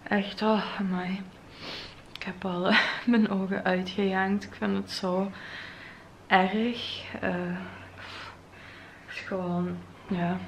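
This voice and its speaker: A young woman with a Flemish accent, talking to camera in a vlog after crying, her voice soft, breathy and trailing into whispers. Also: medium pitch, flat delivery, slow, pauses often, a little hiss or hum. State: tearful, voice heavy from crying. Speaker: vlogger. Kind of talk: emotional vlog monologue to camera. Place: bright modern home interior. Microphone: camera at close range.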